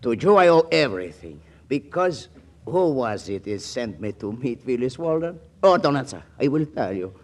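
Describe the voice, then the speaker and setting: An actor playing an ardent, charming, flustered suitor in a 1940s radio comedy, with a Spanish accent and effusive broken English. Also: male voice, medium pitch, big pitch swings, moderate pace. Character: ardent young Latin suitor, charming penniless man, flustered.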